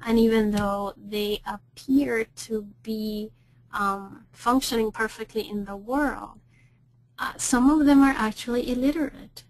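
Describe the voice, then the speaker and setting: A woman's voice: a little high, fairly even intonation, unhurried, low-quality recording. Woman, clinical educator, webinar presentation, conversational, frequent ums, self-corrections.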